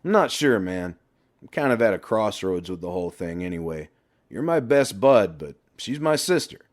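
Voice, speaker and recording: A man's voice: fairly low pitch, big pitch swings, moderate pace. A man voicing a brash middle-aged best friend who lectures and needles bluntly in an audiobook. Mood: blunt, protective. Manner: blunt, emphatic, sweary banter.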